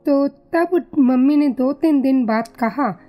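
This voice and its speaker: A woman's voice: high-pitched, slow pace, mellow, soft background music. A woman with a Punjabi accent telling family stories conversationally as an interview guest. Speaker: guest recalling family history.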